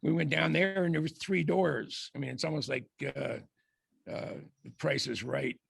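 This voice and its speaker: An older man speaks with a deeply gravelly, raspy voice. He tells rambling anecdotes, stopping and restarting often. The sound is dry and close, with a slightly high pitch for a man. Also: fairly even intonation, fairly bright tone, no echo. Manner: halting, many restarts and fillers. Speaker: guest speaker.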